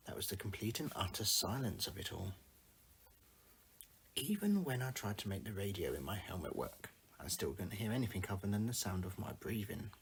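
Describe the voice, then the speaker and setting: A man with a husky voice reading his own first-person short story aloud in a single take. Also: fairly low pitch, low-quality recording.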